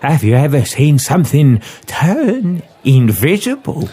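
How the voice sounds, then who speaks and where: A man's voice: fairly high pitch, big pitch swings, a little slow, mellow, slightly rough, exaggerated voice. A man voicing a brash young Cockney woman, delivering fairground barker's patter in a comic audio drama. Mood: showy.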